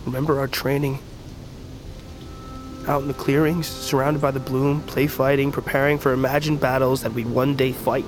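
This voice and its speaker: An actor playing a gravely wounded, fatalistic blind tribesman in an audio drama, speaking weakly and haltingly as he reflects. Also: mid-range voice, little pitch movement, close to an everyday voice, low-quality recording. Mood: weary, resigned. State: wounded, weak, halting breath. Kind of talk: audio fiction drama acting. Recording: music bed under the dialogue.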